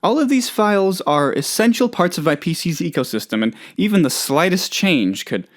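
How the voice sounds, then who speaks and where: A man playing an earnest, self-doubting but loyal boss in a video game, talking in a halting, heartfelt, rambling way. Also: high voice, big pitch swings. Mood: casual, chatty. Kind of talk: expressive game dialogue, conversational monologue.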